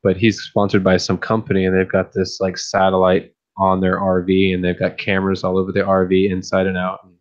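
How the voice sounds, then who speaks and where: A man with a deep voice who streams about fish keeping, chatting casually late at night and thinking aloud with many restarts. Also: monotone, brisk, muffled recording.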